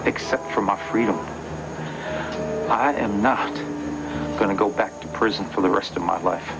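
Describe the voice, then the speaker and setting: An actor playing a distrustful, volatile kidnapper on the run in a television soap, stammering, menacing and wounded. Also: male voice, on the low side, moderate pace, over loud music, natural voice, low-quality recording. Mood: cold, threatening. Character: distrustful, volatile fugitive kidnapper desperate to be a father. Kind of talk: television soap drama acting.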